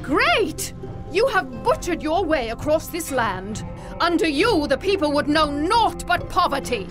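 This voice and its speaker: A woman's voice: high voice, big pitch swings, a little slow, over music. A spirited young noblewoman. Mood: scornful. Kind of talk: video game cutscene acting.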